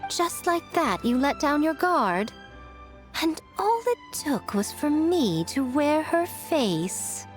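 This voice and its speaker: A woman playing a sly, elegant, centuries-old fox yokai in a video game, teasing and theatrical in a measured way. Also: high-pitched, big pitch swings, faint music behind, exaggerated voice. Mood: sly, amused.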